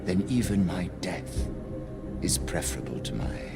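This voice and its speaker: An actor playing a principled, embattled pacifist leader in a video game cutscene, speaking with solemn, formal eloquence. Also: male voice, low-pitched, animated, a little slow, vocal fry, full character voice. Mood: defiant, solemn. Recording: loud music behind the voice.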